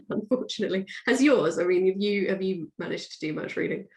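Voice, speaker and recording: A woman's voice: mid-range voice, a little fast. Woman author chatting in an echoey room. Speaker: author, podcast guest. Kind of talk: casual chat.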